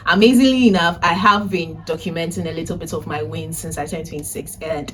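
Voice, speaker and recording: Woman vlogger in her twenties, lowish voice. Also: steady tone, a little fast, a bit bright, slight background noise. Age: 20s. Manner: chatty, addresses viewers directly, often tags phrases with "right?". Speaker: vlogger and data analytics teacher. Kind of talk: casual vlog to camera, recap of personal updates. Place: indoors, a room in a family house.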